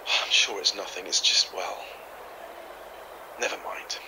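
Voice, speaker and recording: A man's voice: sits low, fairly even intonation, a little slow. A man playing a puzzled, polite folklore investigator musing hesitantly into his recorder in an audio drama. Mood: uneasy. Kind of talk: audio drama, found-tape documentary. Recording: noisy field recording with outdoor ambience.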